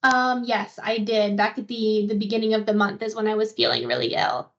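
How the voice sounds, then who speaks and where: A woman's voice: mid-range voice, brisk, fairly bright tone. A woman answering interview questions as a patient, conversational and a little hesitant, thinking aloud. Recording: dull, lo-fi phone call audio.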